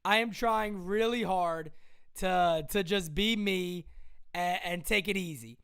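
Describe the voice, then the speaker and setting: A man hosting a live-stream sports show, talking casually and excitably, muttering one moment and yelling the next, his voice strained. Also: sits high, slow pace, creaky, clear, resonant tone.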